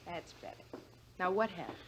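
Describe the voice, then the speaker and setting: An actress playing a caring, probing ballplayer's wife in an old-time radio drama style. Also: female voice, lowish voice, animated, slight background noise, close to an everyday voice, rough recording. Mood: coaxing, insistent. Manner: probing, affectionate, quick to question. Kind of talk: 1940s-50s radio drama acting. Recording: vintage mid-century radio recording.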